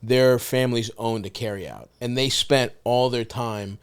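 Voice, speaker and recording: Male podcast host, smooth clear voice, easygoing and crude, steady back-and-forth chat. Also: mid-range voice, unhurried. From United States. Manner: laid-back, swears a lot, constant "yeah, yeah" backchannels. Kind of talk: casual chat.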